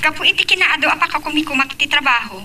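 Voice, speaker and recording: A woman's voice: high-pitched, lively intonation, rapid-fire, pushed and bright, slight background noise, heavily acted. A woman acting a protective, plain-spoken older sister in an Ilocano audio drama, with a Filipino Ilocano accent. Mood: caring.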